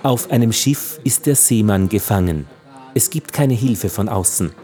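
A man's voice: on the low side, animated, a little slow, warm tone, faint music behind. A man delivering sober, factual scripted narration in a documentary style for a radio play.

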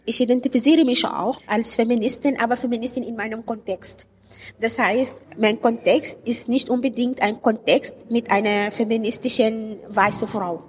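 Higher-voiced Arabic-accented woman in a reverberant hall. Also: steady tone, moderate pace. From Sudan. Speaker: interviewed writer and poet. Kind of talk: reflective interview answers. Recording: reverberant hall, clear background noise.

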